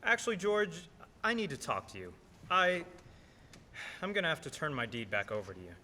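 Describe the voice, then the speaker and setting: Guy doing humble broke cab driver, bright lively voice, bit of room noise, radio play. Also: on the high side, normal speed, full character voice. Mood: downcast, sheepish. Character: humble, hard-up, grateful taxi driver.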